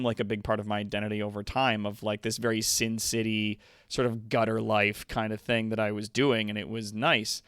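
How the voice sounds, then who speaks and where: A man's voice: fairly low pitch, clear, resonant tone, dry recording, studio quality. A man hosting a livestream, chatting casually with viewers and swearing freely. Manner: sweary, animated asides. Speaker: livestream host. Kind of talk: casual chat with stream viewers. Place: indoor home streaming room.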